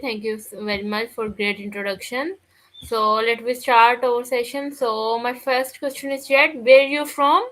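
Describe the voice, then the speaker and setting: A woman's voice: on the high side, steady tone, measured pace, a bit bright, rough recording. A woman interviewer who asks formal, set questions one by one.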